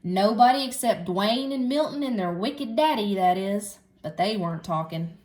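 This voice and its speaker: A woman reading a children's story aloud in an expressive schoolteacher style. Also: mid-range voice, normal speed. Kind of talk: animated children's storybook narration.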